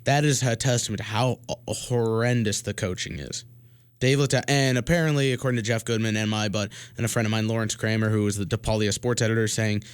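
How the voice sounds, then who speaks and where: An American man co-hosting a sports talk show, giving opinions in a casual, halting way. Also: on the low side, fairly even intonation, clear, resonant tone, dry recording.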